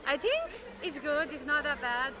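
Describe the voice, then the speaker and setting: A woman's voice: high-pitched, expressive, moderate pace. A woman interviewed on a city street, answering thoughtfully and searching for words. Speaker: passer-by interviewed.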